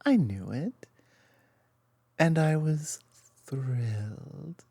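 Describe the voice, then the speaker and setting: A woman playing an affectionate, teasing lamia girlfriend in an intimate ASMR roleplay, speaking softly and confidently with playful asides. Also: deep voice, expressive, very slow, breathy edge, dry recording, heavily acted. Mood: fond, teasing.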